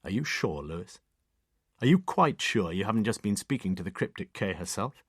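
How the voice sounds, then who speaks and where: A man's voice: lowish voice, very animated, normal speed, husky, dry recording. A man voicing a sardonic, lofty middle-aged detective inspector with a dry, clipped manner.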